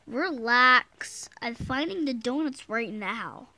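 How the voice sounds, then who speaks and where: A woman's voice: fairly high pitch, lively intonation, slow pace, sharp tone, no echo. A young girl voicing toy pets in a homemade skit on the floor, switching between a scolding mother and a whining child. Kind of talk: toy figure skit with many voices. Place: indoors at home, on a wooden floor. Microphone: phone camera held close to toys.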